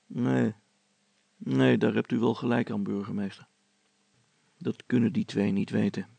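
Man voicing a hesitant, husky, deferential accomplice. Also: lowish voice, steady tone, unhurried, warm tone, dry recording, pitched below the performer's own voice, full character voice. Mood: hesitant, doubtful. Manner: hesitant, polite, mildly objecting.

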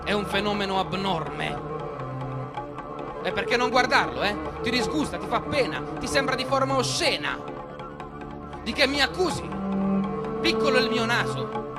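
A man's voice: high-pitched, lively intonation, normal speed, long pauses. An actor playing a proud, hot-tempered swordsman-poet, declaiming theatrical verse with insistent rhetorical questions in a radio play. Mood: indignant, menacing. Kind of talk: stage-style radio theatre verse monologue. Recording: loud music under the voice. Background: music.

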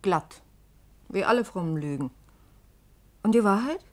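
An actress in a science-fiction radio play playing a skeptical, sharp-tongued radio journalist with a brisk, sardonic, challenging manner. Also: female voice, mid-range voice, expressive, close to an everyday voice. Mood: skeptical, probing.

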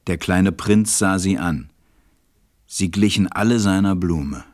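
A man narrating a fairy tale as a measured, reflective storyteller in a radio play. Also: sits low, darkish tone. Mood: wistful.

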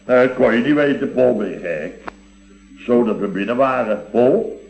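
A man's voice: medium pitch, lively intonation, normal speed, gravelly. An actor playing a chatty, bemused company boss in a vintage Dutch radio comedy, hesitating and repeating himself as he rambles. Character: male boss of a contracting firm, chatty, bemused houseguest. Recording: dull, boxy vintage radio recording, roomy.

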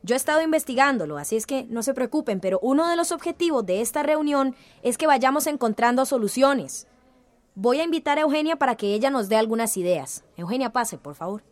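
A determined community leader in a radio drama. Her voice is high, and she speaks in a firm, orderly, appealing way as she chairs a village meeting. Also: animated, brisk, no echo.

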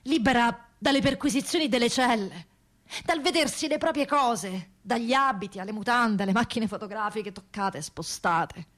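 A woman's voice: on the high side, normal speed, a bit bright, natural voice. A woman playing a proud, relieved ex-prisoner in an emphatic, insistent theatrical monologue. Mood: elated, emphatic. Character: freed female ex-prisoner, proud, relieved, determined. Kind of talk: theatrical first-person monologue.

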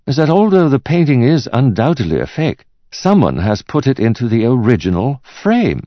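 A man voicing a courteous male art expert in an audiobook, speaking in a formal, deliberate, politely condescending way. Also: mid-range voice, wildly swooping pitch, no echo, dull audio, pitched above the performer's own voice. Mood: polite condescension. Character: measured, courteous male art expert delivering bad news.

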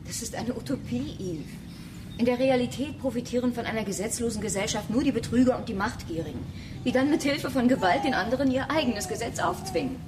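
An actress as a worldly, cynical woman in a science fiction radio play. She speaks matter-of-fact standard German, pitched a bit high. She is slightly distant, with clear background noise. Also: fairly quick, natural voice. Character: worldly, cynical woman realist in a space colony.

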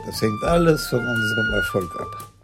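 An actor playing an authoritative police commissioner in a radio crime drama, speaking in a measured, commanding way. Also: male voice, fairly high pitch, wildly swooping pitch, over loud music. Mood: decisive.

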